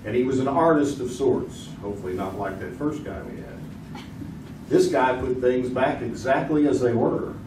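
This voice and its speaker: A man's voice: mid-range voice, normal speed, close to an everyday voice. A man playing a joking 1950s quiz show host with a folksy, teasing manner, on a theater stage. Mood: playful. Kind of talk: 1950s radio game show acting. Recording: echoey stage audio from far off, hiss.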